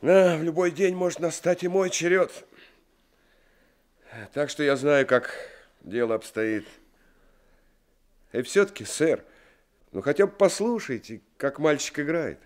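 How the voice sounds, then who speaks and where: A kindly truck driver speaks in a slightly high voice, friendly and a bit hesitant. He is deferential and polite, calling the other man sir, in an old radio play. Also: expressive, a little slow, pauses often. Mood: pleading. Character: kind working-class truck driver, man.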